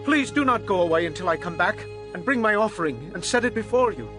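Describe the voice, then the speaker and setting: A man's voice: sits high, very animated, fast talker, over music. A man playing a humble, doubting Israelite farmer, earnest and pleading, in a dramatized audio Bible.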